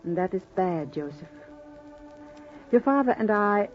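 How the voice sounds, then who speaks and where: A woman's voice: mid-range voice, animated, measured pace, soft background music, close to an everyday voice. An actress playing a devoted, earnest immigrant mother, solemn and formal, in 1940s radio drama style. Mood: solemn, tender. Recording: muffled 1940s radio recording.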